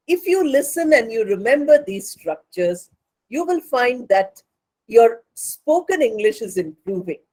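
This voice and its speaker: A woman teacher with an Indian accent and Bengali mother tongue speaks in a fairly high voice at a slow, measured pace. She lectures learners in a didactic way, repeating points for emphasis. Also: darkish tone, poor audio. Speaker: spoken English teacher.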